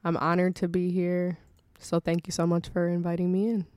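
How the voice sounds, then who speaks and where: An American woman in her thirties from Milwaukee with a clean, smooth voice, recorded dry. She speaks as a guest in a casual interview, informal, slangy and candid. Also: lowish voice, completely flat delivery, moderate pace, darkish tone, dry recording. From Milwaukee, United States. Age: 30s. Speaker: radio show guest, social media creator.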